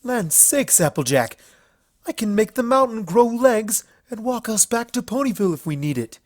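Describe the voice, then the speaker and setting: A man's voice: high voice, very animated, moderate pace, no room sound, studio quality, pitched above the performer's own voice. A man voicing a proud, playful female alicorn pony princess in a storybook reading, articulate and haughty. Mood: scoffing. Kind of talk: storybook fan fiction character voice.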